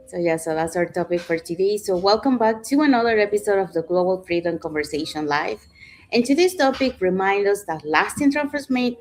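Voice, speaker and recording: A woman's voice: mid-range voice, poor audio. A middle-aged woman from Panama hosting a live online panel talk, chatty, with many fillers like "you know". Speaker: host.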